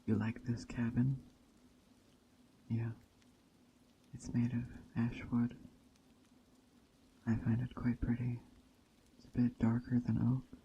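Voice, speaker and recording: Slow, hushed, monotone witch acted by a man. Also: medium pitch, vocal fry, lo-fi sound, a little hiss or hum. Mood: welcoming, calm. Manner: gentle, unhurried, drifting into whispers. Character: calm, hospitable witch of a woodland coven. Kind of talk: ASMR roleplay. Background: rain and forest ambience.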